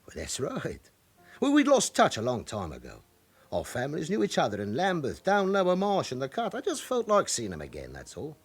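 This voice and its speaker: An actor playing an evasive, chatty forty-year-old London club owner with an underworld past in a radio drama. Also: male voice, on the high side, huge pitch swings, a little fast, husky, heavily acted. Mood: defensive.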